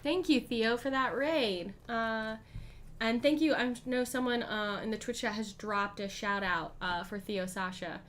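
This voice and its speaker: A woman streaming a solo board game, chatting casually and thinking aloud, with frequent ums and throat clearing. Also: a little high, unhurried, a bit bright. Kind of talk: casual live-stream play-through.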